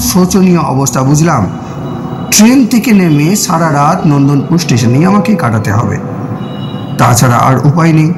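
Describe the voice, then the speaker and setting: A man narrates a scripted story in the first person, in a measured, dramatic way with deliberate pauses. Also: on the high side, poor audio. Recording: music playing behind the voice. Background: clear background music.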